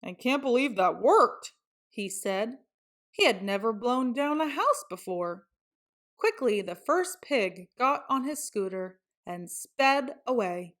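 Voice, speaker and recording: High-voiced woman reading a storybook slowly. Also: big pitch swings, a bit bright. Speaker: storybook reader. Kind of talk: expressive children's read-aloud narration.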